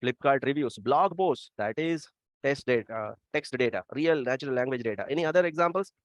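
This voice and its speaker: A man's voice: medium pitch, animated, no echo. A man with an Indian accent teaching a class, often asking students for answers. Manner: quick, asks the class for answers. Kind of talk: classroom lecture. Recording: dry, dull lo-fi sound.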